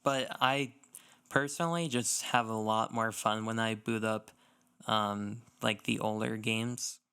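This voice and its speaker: A man co-hosting a casual podcast, talking through his opinions in an explanatory, measured way. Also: on the low side, fairly even intonation, bright, forceful tone, smooth. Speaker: podcast co-host. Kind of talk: casual gaming podcast chat.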